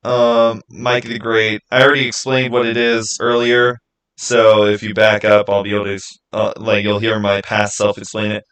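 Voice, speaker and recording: A man hosting a livestream, answering viewers in casual, halting speech and thinking out loud. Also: on the low side, completely flat delivery, smooth. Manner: halting, thinking aloud with repeated phrases. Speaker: livestream host.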